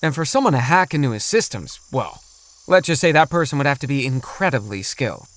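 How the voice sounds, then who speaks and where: A man's voice: mid-range voice, big pitch swings, a little fast, a bit bright, no echo. A man playing an earnest, thoughtful middle-aged father with a hesitant, explanatory manner in a children's audio drama.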